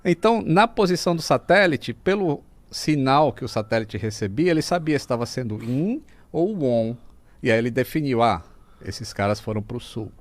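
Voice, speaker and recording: A man's voice: mid-range voice, lively intonation, normal speed. A man with a Brazilian accent talking casually as a podcast guest, explaining things like a storyteller and mimicking sounds as he goes.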